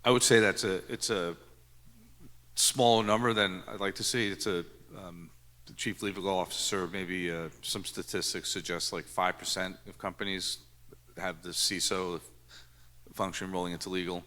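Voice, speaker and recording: A man's voice: low-pitched, level tone, normal speed, sharp tone. A man speaking on a conference panel as a former security chief, earnest and often repeating words as he thinks. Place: conference hall. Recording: echoey conference hall.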